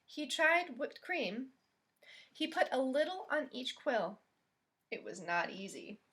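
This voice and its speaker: Woman, children's storyteller, fairly high voice, very unhurried pace, expressive read-aloud, questions to little listeners.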